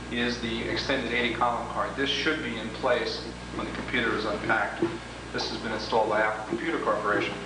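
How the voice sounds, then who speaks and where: A man presenting an instructional product demonstration, explaining each step methodically in formal phrasing. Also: medium pitch. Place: indoors, in a room with equipment. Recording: echoey room, clearly noisy old home video.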